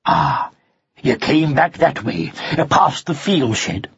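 A man voicing a gruff but affectionate father tomcat in a children's storybook, with a growling voice. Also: a little high, sing-song, slow pace, no echo, muffled recording, heavily acted. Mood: reassuring.

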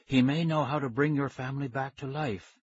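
A man voicing a soft-spoken older male scientist in a hushed, low murmur. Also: steady tone, brisk, husky, dry recording, highs cut off, close to an everyday voice. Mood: grave.

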